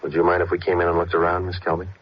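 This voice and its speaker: A man acting a terse, businesslike police detective and narrator in a 1950s radio drama, clipped and deadpan. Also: sits low, racing, heavy background noise, natural voice. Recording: dull vintage radio recording.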